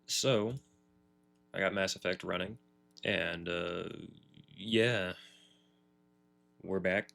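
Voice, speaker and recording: A man's voice: deep voice, fairly even intonation, drawn-out words, gravelly, pauses often, fairly bright tone. A man livestreaming a video game with casual, laid-back, offhand commentary.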